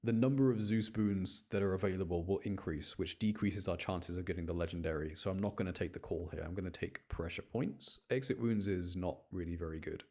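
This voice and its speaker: A man's voice: low voice, brisk, hardly any pauses, warm tone. A man giving casual gameplay commentary, talking to himself and explaining his choices as he rambles along. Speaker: gaming content creator.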